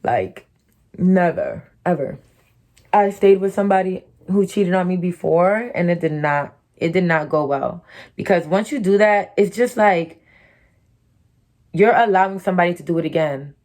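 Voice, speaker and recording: A woman's voice: fairly low pitch, normal speed, a little mellow. A young woman from Connecticut with her own distinctive American accent, telling a candid, profane storytime, full of "like" and "you know". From Connecticut, USA. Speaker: YouTuber.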